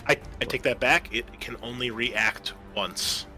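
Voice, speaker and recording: Male game master, bright forward voice, improvised rulings and scene narration over music. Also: medium pitch, measured pace.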